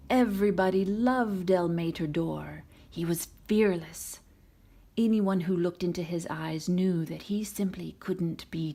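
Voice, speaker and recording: A woman reading a children's storybook aloud in an expressive narrating style. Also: on the low side, creaky. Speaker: storybook reader.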